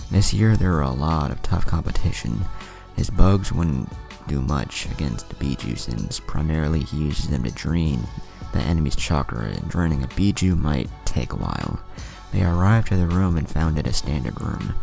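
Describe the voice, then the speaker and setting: Extremely deep, gravelly male story narrator. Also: expressive, moderate pace, mellow, soft background music. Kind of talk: fan fiction story narration.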